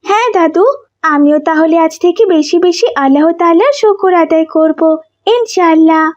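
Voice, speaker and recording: A woman's voice: very high-pitched, normal speed, darkish tone, dry recording, highs cut off. A woman voicing a cheerful, pious little village girl in a children's cartoon, earnest and sweetly polite. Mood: cheerful, eager. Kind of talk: children's Islamic cartoon dialogue.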